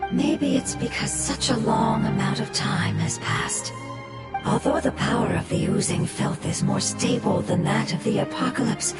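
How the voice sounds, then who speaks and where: A cautious, formal little forest creature. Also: female voice, a little high. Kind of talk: game voice acting. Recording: roomy echo.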